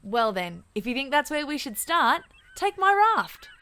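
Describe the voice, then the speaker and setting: A woman's voice: high voice, sing-song, moderate pace, pushed and bright, no echo, heavily acted. A woman voicing a plucky, helpful pig sidekick in an animal audio drama.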